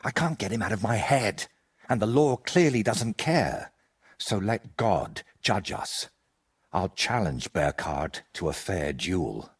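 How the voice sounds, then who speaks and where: Husky, low-voiced troubled old painter. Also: male voice, lively intonation, takes it slowly, full character voice. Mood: bitter, desperate. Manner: earnest, confessional, haunted. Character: troubled medieval painter, older man, guilt-ridden and desperate. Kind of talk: video game dialogue acting.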